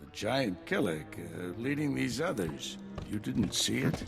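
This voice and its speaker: An actor playing a wry, commanding older Jedi master in a sci-fi video game. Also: male voice, medium pitch, very animated, unhurried, gravelly, music in the background, full character voice. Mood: grave, commanding. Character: gruff, wry older male Jedi master, protective mentor.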